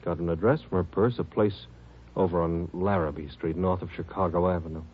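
A man's voice: low voice, fairly even intonation, brisk, husky. A man playing a frustrated, weary hospital doctor in 1950s radio drama style. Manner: exasperated, brooding. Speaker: doctor character. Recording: muffled, noisy old radio recording.

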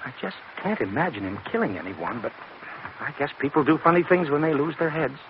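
An actor playing a polite, concerned business executive in an old radio drama, earnest and deferential. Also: male voice, a little high, animated, a little fast, close to an everyday voice. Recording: muffled, noisy old radio recording.

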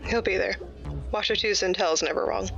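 A woman's voice: mid-range voice, sing-song, fairly quick, vocal fry, highs cut off, music in the background. A woman playing a no-nonsense, focused bounty hunter in an audio drama, terse and dry. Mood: stern, dry.

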